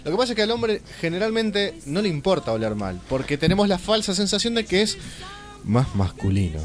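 A man's voice: high voice, very animated. A man with a Rioplatense accent co-hosting a talk show, joking and teasing in casual studio banter. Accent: Rioplatense Spanish. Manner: joking, teasing, cuts in with quick remarks. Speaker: radio show co-host.

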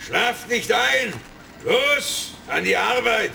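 An actor playing a sinister, short-tempered hacker boss in a children's radio play, domineering and scornful. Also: male voice, very high voice, lively intonation, slow pace, gravelly, pushed and bright, slight background noise, heavily acted. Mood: irritated, commanding. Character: finster, bossy male hacker boss, short-tempered schemer.

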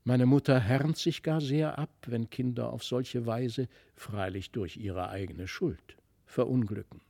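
A man voicing a gentle, wistful otherworldly child in a storybook, speaking softly and earnestly. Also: medium pitch, unhurried, vocal fry.

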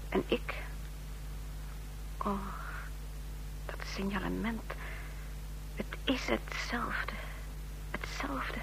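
A wary young secret service worker in a radio drama. She speaks slowly and hesitantly in a fairly high voice, over clear background noise. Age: young adult. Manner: hesitant, measured. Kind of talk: Dutch radio play acting.

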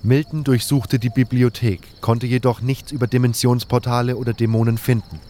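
A man's voice: mid-range voice, flat delivery, fairly quick, warm tone, slight background noise. A man reading scripted first-person narration for an audio drama.

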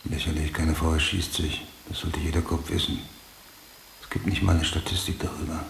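Low, gravelly obsessive police detective. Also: male voice, level tone, moderate pace. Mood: brooding. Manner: clipped, muttering, drifting into whispers. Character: obsessive, brooding male police detective hunting a serial killer. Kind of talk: German radio drama acting, interior monologue. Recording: roomy, faint hiss.

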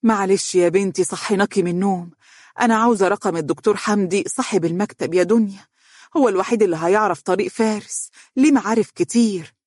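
Anxious Egyptian mother, voiced by a female narrator: entreating, urgent, husky, dry audiobook delivery. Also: mid-range voice, expressive, fast talker, mellow, no room sound, pitched above the performer's own voice. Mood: anxious pleading. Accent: Egyptian Arabic. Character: worried, pleading mother of a missing son.

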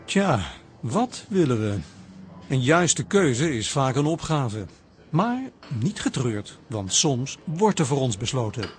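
An actor playing a philosophical, musing narrator in a radio drama. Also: male voice, mid-range voice, sing-song, takes it slowly. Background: music.

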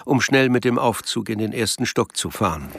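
A man's voice: mid-range voice, expressive, normal speed, gravelly, a bit bright, slight background noise. A man reading scripted literary narration as the narrator of a radio drama.

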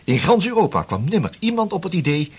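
Casting: male actor as a young explorer, husky, swings all over in pitch, radio play. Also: big pitch swings, fast talker, full character voice. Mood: eager. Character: young 16th-century Dutch sea explorer, eager and tender. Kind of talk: radio drama acting.

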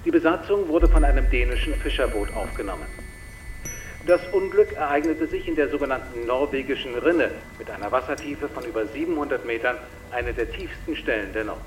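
An impersonal male newsreader on a radio. His mid-pitched voice is formal and matter-of-fact, coming echoey from a distance. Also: animated, brisk, a little hiss or hum. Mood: neutral, official. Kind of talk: formal news bulletin reading.

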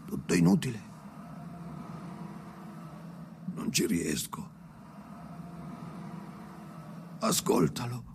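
An actor playing a tormented, bitter ageing man in a radio drama monologue, raspy and often sinking to a whisper, speaking in slow, halting fragments. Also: medium pitch, wildly swooping pitch, background noise, heavily acted. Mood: anguished, bitter. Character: tormented ageing man haunted by his dead father, brooding, bitter.

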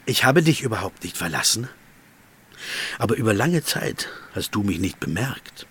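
An actor in a radio drama playing a shrunken, sly tempter who poses as a former pupil, speaking with insinuating, reproachful persuasion. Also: male voice, fairly low pitch, sing-song, normal speed, a bit bright, exaggerated voice. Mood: insistent, sorrowful. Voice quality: husky.

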